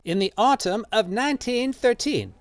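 Poor, proud old man, storybook drama. High, swooping voice, formal and full of protest. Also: animated, normal speed. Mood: quiet, wounded. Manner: impassioned, protesting, formal phrasing. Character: outraged, proud, impoverished old man, defiant and wounded.

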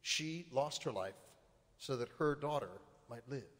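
A man's voice: mid-range voice, expressive, measured pace. A male priest preaching a sermon, building to emphatic, rising points. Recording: reverberant church hall.

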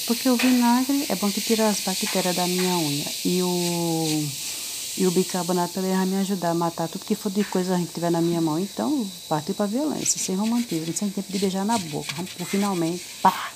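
A middle-aged woman talks through a home cleaning video, teasing and full of slangy asides. Her voice sits slightly low. The phone recording carries heavy background noise from the kitchen. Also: fairly quick. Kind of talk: casual chatty tutorial. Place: home kitchen, voice heard while cleaning a stove. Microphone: phone camera held close over the stovetop.

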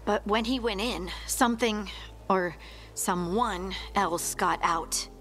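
A friendly straight-talking scout, plain mid voice. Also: female voice, mid-range voice, slow pace, a bit bright, slight background noise. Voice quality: friendly, direct. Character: friendly woman scout, plain-spoken guide. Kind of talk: video game cutscene dialogue.

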